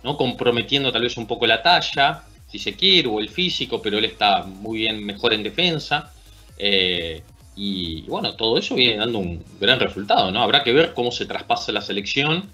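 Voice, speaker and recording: Argentine man, 30s, bright voice, pundit on a video call, musing and checking in with tag questions. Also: fairly low pitch, lively intonation, normal speed, soft background music. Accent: Argentine Spanish. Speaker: basketball analyst. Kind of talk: casual sports discussion. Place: small room at home.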